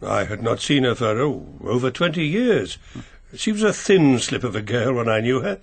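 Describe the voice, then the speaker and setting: An actor playing a proper, reserved older English family solicitor, precise and a little hesitant, in a radio mystery drama. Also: male voice, mid-range voice, big pitch swings, normal speed, gravelly, a little hiss or hum. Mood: measured recollection.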